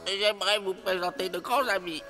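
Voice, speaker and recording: A man's voice: high-pitched, steady tone, normal speed, bright, forceful tone. A man voicing an enchanted frog prince in a children's cartoon, speaking with courteous, formal insistence. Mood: polite, pleased. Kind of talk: children's fairy-tale cartoon acting. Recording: long reverb, music behind.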